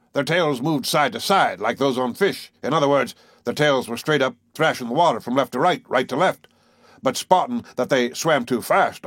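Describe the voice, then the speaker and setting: A boisterous harpooner bursts out loud and boastful, his husky voice raised a little above the reader's own. A man reads him out in a dry, close recording, all excitable bluster. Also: mid-range voice, no room sound, natural voice. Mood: storytelling. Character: boisterous burly male Canadian harpooner, blunt.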